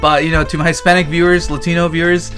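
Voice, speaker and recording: A man's voice: on the high side, flat delivery, fast, fairly bright tone, soft background music. A young man from Texas chatting casually and jokingly to his viewers, in loose, slangy storytelling. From Texas, United States. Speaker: vlogger. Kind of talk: casual comedic chat.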